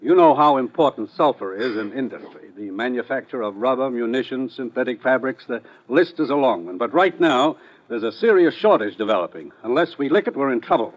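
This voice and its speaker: A man's voice: mid-range voice, expressive, fairly quick, close to an everyday voice. A man acting an authoritative, dryly teasing government commissioner in a 1950s radio drama. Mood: urgent briefing. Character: brisk, authoritative government commissioner briefing his agent. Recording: dull vintage radio recording.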